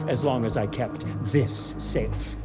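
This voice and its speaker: A man's voice: mid-range voice, slow pace, music in the background, lightly acted. An actor playing a cowardly, greedy accountant in a video game, flustered, fussy and whining as he talks. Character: cowardly, greedy male accountant, indignant and panicky. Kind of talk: video game cutscene acting.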